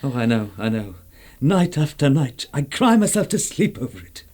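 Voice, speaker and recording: An actor playing a genial, wry, soothing old-fashioned husband in a vintage radio drama, with a jaunty, bantering manner. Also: male voice, mid-range voice, big pitch swings, normal speed, a little mellow. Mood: wry, teasing.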